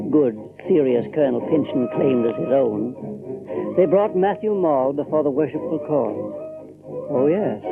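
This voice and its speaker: An actor playing a brooding storyteller from a stern old family, addressing the listener with theatrical, rhetorical questions in an audio drama. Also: male voice, mid-range voice, gravelly. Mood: sardonic, grave. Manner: theatrical, rhetorical, emphatic repetition. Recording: muffled lo-fi tape with loud music.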